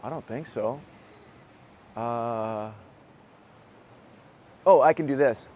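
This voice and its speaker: A man in his thirties with a hoarse voice, answering interview questions in a relaxed, casual chat and laughing often. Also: mid-range voice, slow pace. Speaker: actor being interviewed.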